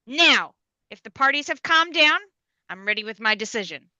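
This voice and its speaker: A woman's voice: on the high side, expressive, moderate pace, pushed and bright, dry recording. A woman playing a stern, no-nonsense courtroom judge, authoritative and formal, in a live comedy table read. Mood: ruling.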